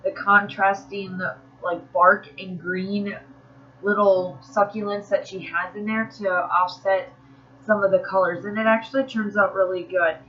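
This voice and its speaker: A woman's voice: medium pitch, fairly even intonation, measured pace. Woman, craft channel host, casual rambling voice-over, nasal from allergies, fillers and restarts, slightly muffled recording. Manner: chatty, rambling, with fillers and self-corrections. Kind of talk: casual voice-over narrating a crafting process video.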